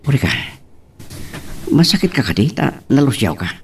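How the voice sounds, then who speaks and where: A man's voice: medium pitch, very animated, moderate pace, creaky, a little mellow. A man with an Ilocano accent playing a friendly, concerned young man in an audio love drama, with faint noise on the recording.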